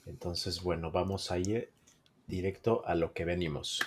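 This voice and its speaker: A man's voice: low voice, steady tone, measured pace, a little hiss or hum. A man hosting a podcast interview, welcoming guests and asking questions with short acknowledgements. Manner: brief acknowledgements, friendly questions. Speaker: podcast host. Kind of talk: scripted intro and casual interview.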